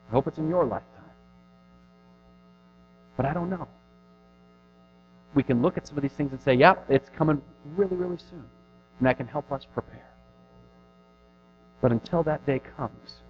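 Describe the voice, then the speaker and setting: Earnest preaching man, lo-fi sound. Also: fairly high pitch. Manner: earnest, conversational preaching with rising and falling emphasis. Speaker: pastor. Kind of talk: sermon. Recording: dull lo-fi recording with faint music.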